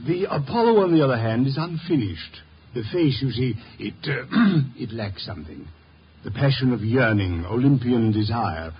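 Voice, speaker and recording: A man's voice: mid-range voice, expressive, moderate pace, lightly acted. Guy acting snooty arty sculptor dad, theatrical and pompous, muffled old radio with slight noise. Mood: rapturous, lofty. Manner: cultured, grandiloquent, pompous. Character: lofty, theatrical sculptor, protective middle-aged father. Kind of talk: 1950s radio drama acting.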